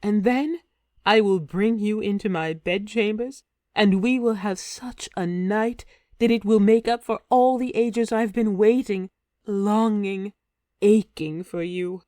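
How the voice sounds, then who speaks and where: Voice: mid-range voice, slow pace, dark tone, dry recording, clean studio sound, natural voice. A narrator voicing a passionate ancient warrior queen, pleading and theatrical, in a storybook reading. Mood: eager. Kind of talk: audiobook character voice.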